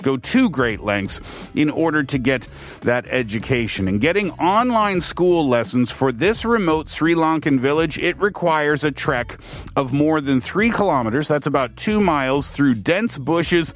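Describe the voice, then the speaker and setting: A man from the East Coast of Canada hosting a radio show from a studio, explaining steadily with the odd filler. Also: a little high. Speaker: radio host. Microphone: studio mic.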